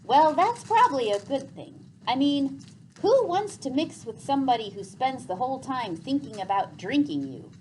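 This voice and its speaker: Plucky storybook boy, acted out by a woman, chatty and joking, high and bouncy intonation. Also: very animated, moderate pace, darkish tone, a little hiss or hum, low-quality recording. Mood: casual, joking. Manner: casual, upbeat, chatty.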